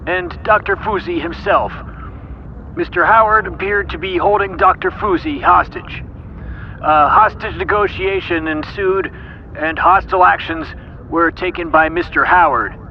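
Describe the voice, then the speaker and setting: An actor playing a stiff, official police chief giving a deliberate press statement. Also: male voice, sits high. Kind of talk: scripted press-conference statement. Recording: dull sound, outdoor ambient noise.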